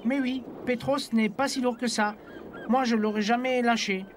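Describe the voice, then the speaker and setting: A man's voice: very high-pitched, fairly even intonation, moderate pace, a little hiss or hum. A man voicing a blunt, sceptical, grumbling companion in a French cartoon dub.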